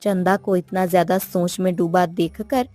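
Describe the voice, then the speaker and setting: A woman telling a scripted moral story as an expressive narrator. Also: fairly low pitch, normal speed, no room sound. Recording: music behind the voice.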